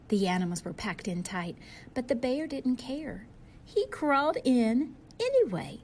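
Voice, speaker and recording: A woman's voice: on the high side, mellow. A woman reading a picture book aloud like a teacher, expressively and with simple asides to young children.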